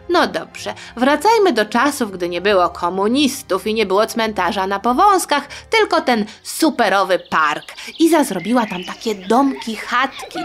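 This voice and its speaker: A woman hosting a history show for children, telling the story in a playful, colloquial, chatty way with expressive emphasis. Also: on the high side. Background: faint music.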